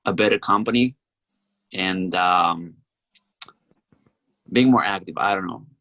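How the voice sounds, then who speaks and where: A young man from Romania answering exam questions in a halting way, with frequent ums. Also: low-pitched, bright, forceful tone, no echo, rough recording. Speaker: exam candidate.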